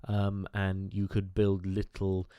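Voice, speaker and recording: A man's voice: low voice, monotone, takes it slowly, clear, resonant tone, no echo, highs cut off. A man with a cold, nasal and chatty, talking over gameplay with lots of fillers. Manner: chatty, enthusiastic, rambling with fillers. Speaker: gaming YouTuber. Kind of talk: casual game commentary.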